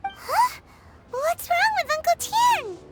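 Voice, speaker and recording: A tiny floating fairy girl from a video game, extremely high-pitched and childlike. She is chirpy and eager, with wildly swooping intonation and an unhurried pace. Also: very animated, loud music behind the voice, full character voice. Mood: concerned.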